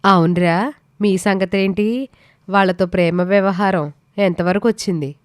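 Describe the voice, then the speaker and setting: A woman's voice: mid-range voice, measured pace, clear, resonant tone, dry recording, clean studio sound, close to an everyday voice. Woman playing a smug, cunning village schemer. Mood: bossy, scheming.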